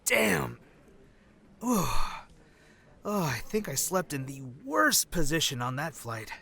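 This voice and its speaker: A voice actor plays a wry male undercover agent in a crime comedy audio drama. He speaks slowly and hesitantly, his pitch swinging widely, sardonic and a little uneasy. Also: sing-song, gravelly, bright, forceful tone, exaggerated voice. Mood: wry, groaning.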